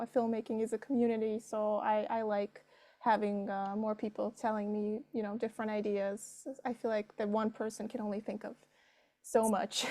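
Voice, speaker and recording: A woman's voice: a little high, darkish tone. A woman, answering interview questions conversationally and thinking aloud as she goes. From Serbia. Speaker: filmmaker being interviewed.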